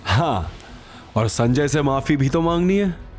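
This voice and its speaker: A man playing a cocky, calm and menacing fighter in an audio drama, with a taunting, smug manner. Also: on the high side, measured pace, over music, pitched above the performer's own voice. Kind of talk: Hindi audio drama acting.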